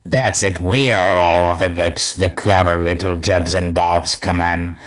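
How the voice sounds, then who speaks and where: A man's voice: sits low, fairly even intonation, unhurried. A man voicing a bitter, theatrical supervillain archenemy in a comic dub. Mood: mocking. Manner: sneering, theatrical.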